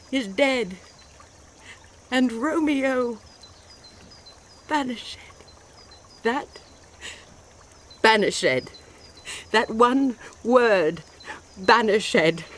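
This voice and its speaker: An actress with a British accent playing a passionate, distraught young bride in classical Shakespearean radio drama, phrasing verse theatrically. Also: female voice, high-pitched, a bit bright, slight background noise.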